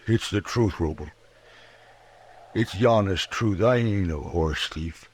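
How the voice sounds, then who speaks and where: An actor playing a grateful frontier drifter with an American accent and rustic, earnest phrasing in a radio western. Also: male voice, low-pitched, slow, slightly rough, heavily acted. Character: grateful, tall frontier drifter just saved from hanging. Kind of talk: old time radio western acting. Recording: noisy vintage radio drama recording.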